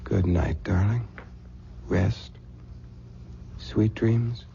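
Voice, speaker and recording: A man's voice: fairly low pitch, fairly even intonation, extremely slow, vocal fry, dark tone, full character voice. A man playing a worried, devoted young husband in an old-time radio drama, earnest and emotive. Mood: soothing, tender. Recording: dull old radio recording with hiss.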